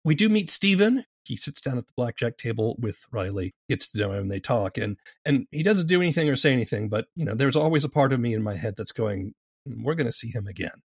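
A man's voice: mid-range voice, big pitch swings, brisk, slightly rough, dry recording, clean studio sound. A man hosting a conversational interview, thoughtful and a little hesitant in his phrasing. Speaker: interviewer, host.

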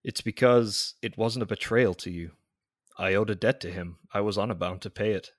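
Man playing a deep-voiced, brooding officer. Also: moderate pace, no room sound, studio quality, close to an everyday voice. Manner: formal, restrained, earnest. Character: brooding, honour-bound military officer, devoted lover. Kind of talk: audio drama acting.

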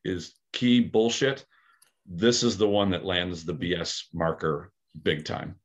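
A man's voice: low-pitched, steady tone, slow. A man talking through ideas on a podcast, thoughtful and hesitant, with many fillers and restarts. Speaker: aikido practitioner, podcast co-host. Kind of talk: casual podcast discussion.

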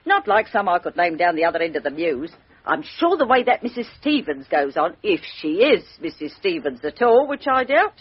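A woman's voice: fairly low pitch, very animated, a little fast, slightly rough. A woman playing a chatty, gossipy landlady who calls everyone sir, in a dull-sounding old radio drama recording. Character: chatty gossipy working-class London landlady, middle-aged. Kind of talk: 1950s radio drama acting.

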